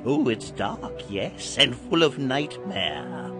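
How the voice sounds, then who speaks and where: Over prominent music, a sinister old master of Halloween with gleeful menace. He speaks very slowly and grandly, teasing his listeners with dramatic pauses, as in a gothic radio play. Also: medium pitch, big pitch swings. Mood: grand, beckoning. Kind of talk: dramatized audiobook acting. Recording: music behind the voice.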